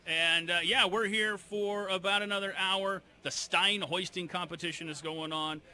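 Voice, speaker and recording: A man's voice: high voice, fairly even intonation, normal speed, pushed and bright. A man hosting sports radio from a restaurant patio on a headset mic, chatting casually and reading sponsor plugs and the text line number. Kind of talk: casual sports talk with live ad reads. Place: restaurant patio at a remote broadcast. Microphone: studio headset mic.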